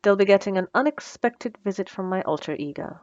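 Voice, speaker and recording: A woman's voice: mid-range voice, animated, brisk, darkish tone, lo-fi sound, close to an everyday voice. A woman playing a wry, menacing supervillain in a scripted audio roleplay, teasing and condescending, with cool threats. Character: wry, menacing female supervillain mentoring a captive assistant.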